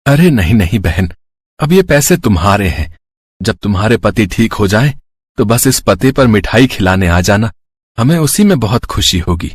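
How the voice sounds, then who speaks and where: Man playing kindly rich gentleman, husky. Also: lowish voice, very animated, normal speed, no echo. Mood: kind, benevolent. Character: kindly, generous rich man, secretly a benevolent god. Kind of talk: Hindi cartoon story acting.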